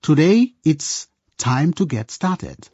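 A man's voice: fairly high pitch, sing-song, slow, a little mellow, husky, no echo, highs cut off. A man narrating a scripted radio drama, addressing listeners like a storyteller. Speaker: narrator, presenter.

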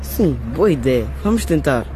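A man's voice: on the high side, sing-song, a little slow, noisy background. An actor playing a determined boy begging on a street with his brother in a radio drama. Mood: earnest. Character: boy Bruno, stubborn older brother.

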